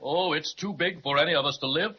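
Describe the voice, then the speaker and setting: A man's voice: on the high side, slightly rough. A man voicing a polite, genteel patchwork dragon in a 1930s radio serial, hesitant and humming as he thinks. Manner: gracious, hesitant, drawn-out hums. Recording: dull old 1930s radio recording with faint hiss.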